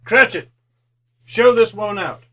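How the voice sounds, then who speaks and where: A man's voice: high-pitched, measured pace, fairly bright tone, slight background noise. An actor playing a miserly, cold-hearted, crotchety older businessman in old-time radio drama style, curt and scornful. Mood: barking, irritated.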